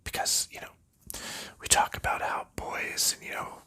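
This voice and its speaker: Bright whispering man, unhurried ASMR chat. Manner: rambling, unhurried whispered chat with soft laughs. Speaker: ASMR creator. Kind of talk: ASMR whispering while sorting board game pieces.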